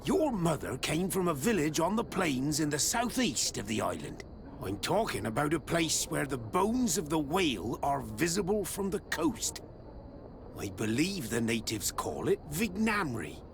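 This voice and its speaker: An actor playing a gruff, wily old sea captain in a video game, with gravelly speech. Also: male voice, on the high side, very animated, a little slow, noisy background, exaggerated voice. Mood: pleased, obliging. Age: older. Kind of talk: video game cutscene acting.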